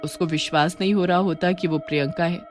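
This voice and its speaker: A woman's voice: lowish voice, fairly even intonation, brisk, soft background music. A woman delivering scripted narration of a horror story as its storyteller.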